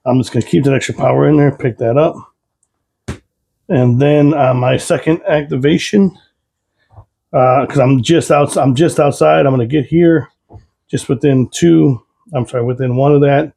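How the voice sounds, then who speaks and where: Guy with a gravelly, kinda hoarse voice running through his game turn, dark tone. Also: medium pitch, steady tone, moderate pace. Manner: calls out moves and dice rolls plainly. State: losing his voice. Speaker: host. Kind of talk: casual tabletop game commentary.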